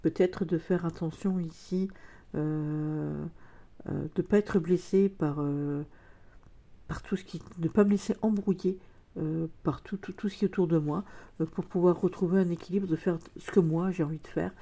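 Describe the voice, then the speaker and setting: A woman's voice: lowish voice, steady tone, normal speed, creaky, dark tone. A woman chatting casually in a vlog, hesitant with many fillers, her nose stuffed up from a cold. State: congested, a cold. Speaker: vlogger. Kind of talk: casual chat.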